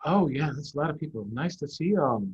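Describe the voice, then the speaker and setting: A middle-aged American man, a head of school, hosting an online story time and reading aloud to young children in an animated, emphatic way with dramatic pauses. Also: a little high, slightly rough. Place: online video call. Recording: dull, muffled online video call.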